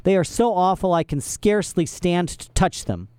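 A man's voice: high-pitched, expressive, moderate pace, dark tone, clean tone, no room sound, clean studio sound, voice pushed up. A man doing a scratchy, menacing villain. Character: menacing greedy villain, tall adult man.

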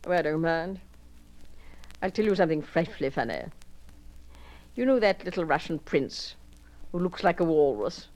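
A woman's voice: sits low, animated, normal speed, pauses often, darkish tone, poor audio. Woman doing a bored, clipped horsey lady. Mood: languid. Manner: clipped, languid, offhand. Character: bored, horsey upper-class Englishwoman. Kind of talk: solo character monologue.

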